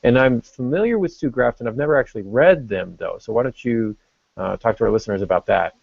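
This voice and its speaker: A man's voice: mid-range voice, fast talker, gravelly, no echo. A man co-hosting a casual podcast discussion, explaining things as he thinks them through. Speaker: podcast co-host. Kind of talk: casual discussion of fiction writing. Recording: dull lo-fi podcast audio.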